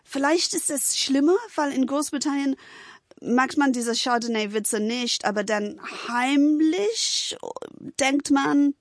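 High-voiced Englishwoman chatting in non-native speech. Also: slow, no echo. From England, United Kingdom. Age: middle-aged. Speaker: interview guest. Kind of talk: casual conversational storytelling.

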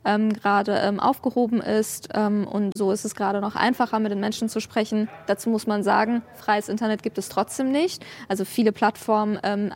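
A woman's voice: mid-range voice, darkish tone. A woman from Germany answering as a journalist and activist in an interview, explaining things with frequent hesitant hums between clauses. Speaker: journalist and human rights activist, interview guest.

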